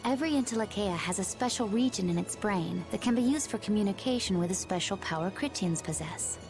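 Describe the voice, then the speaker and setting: A woman's voice: mid-range voice, animated, brisk, lightly acted. Woman acting young female warrior, composed, secretive, dutiful, video game dialogue, music behind. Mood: calm, explanatory.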